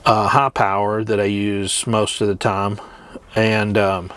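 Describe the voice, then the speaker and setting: A middle-aged man chatting casually as a trucker vlogger, rambling and reminiscing with frequent hesitant pauses. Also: on the low side, flat delivery, fairly bright tone, slight background noise. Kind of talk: casual rambling chat to camera.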